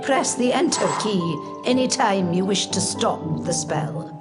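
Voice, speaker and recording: A woman acts a stern older schoolmistress in a video game. She speaks formally and precisely, with a lively rise and fall, over background music. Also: medium pitch, measured pace, natural voice. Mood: formal, instructive. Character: stern, precise older female magic teacher. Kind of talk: instructional game narration. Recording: game audio with music behind.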